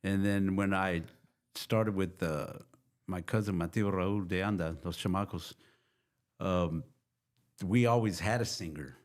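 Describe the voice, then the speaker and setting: An older man from Texas, a guest musician, telling long rambling stories in a relaxed chat. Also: low-pitched, a little slow. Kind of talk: casual interview storytelling.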